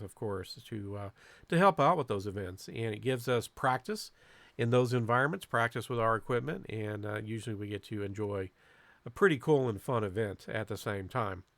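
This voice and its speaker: A man giving a casual, unscripted club video narration as presenter, in a halting way with frequent pauses and repeated words. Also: on the low side, gravelly, fairly bright tone, dry recording. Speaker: amateur radio club presenter.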